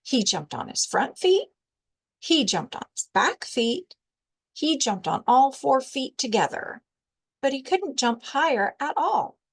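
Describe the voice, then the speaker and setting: A woman reads a picture book aloud for children in the manner of a library storyteller. Her voice is on the high side, and she takes it slowly, shaping the narration with deliberate expression. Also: big pitch swings, slightly rough.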